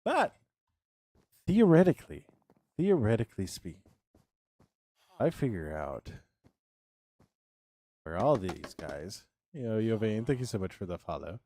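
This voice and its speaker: A man in his thirties talking his way through a game build on a live stream, chatting to himself and his viewers. His pitch swings up and down a lot, but he takes his time, humming nonsense syllables and repeating words over and over. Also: very animated, unhurried, long pauses, warm tone. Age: 30s.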